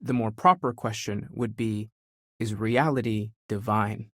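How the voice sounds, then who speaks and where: A man's voice: on the low side, a little slow, fairly bright tone, no room sound, clean studio sound. A young man acting an earnest, curious, spiritually searching space explorer in a video game, thoughtful and sincere in his delivery. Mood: thoughtful, wondering.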